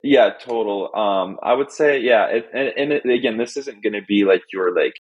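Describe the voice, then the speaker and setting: A man's voice: lowish voice, moderate pace, breathy edge, no echo, highs cut off. A man from Estonia whose first language is Russian, a podcast guest chatting casually with many fillers and restarts. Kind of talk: casual interview chat.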